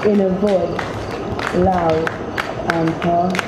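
An actress slowly chanting an eerie lullaby in a hushed voice, in a very echoey, noisy hall. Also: female voice, fairly low pitch, steady tone, distant mic, over a noisy crowd. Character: woman singing an eerie lullaby.

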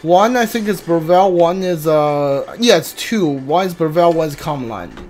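A man casually commentating on his video game playthrough, haltingly thinking aloud and mumbling as he reads. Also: a little high, animated, darkish tone, soft background music.

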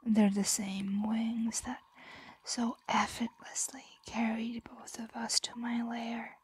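A woman playing a possessive, teasing ancient dragon in a whispered ASMR roleplay, breathy, lingering, doting and predatory. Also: on the high side, monotone, takes it slowly, no room sound, exaggerated voice. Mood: smug, coaxing.

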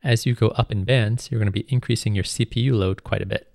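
A man with a lowish, creaky voice talks through a software lesson step by step. His delivery is informal and explanatory, recorded close and very dry. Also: steady tone, fast, no room sound. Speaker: music production instructor. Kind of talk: screen tutorial narration, explanatory.

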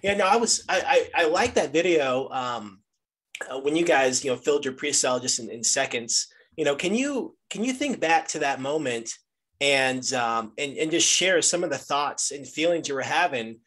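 A man's voice: on the high side, expressive, normal speed, a bit bright. A man hosting a casual interview, repeating words and rephrasing as he puts his questions. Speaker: interviewer, host.

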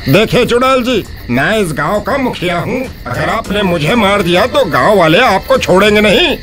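A man voicing a bold, dutiful village headman, formal and earnest, in an animated story. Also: sits high, moderate pace, over music. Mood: wary, firm.

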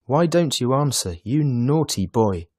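A stern old Santa Claus from a children's storybook, put on by a man. He scolds with loud indignation and a commanding manner, in a dry recording. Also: lowish voice, measured pace, dry recording. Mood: angry.

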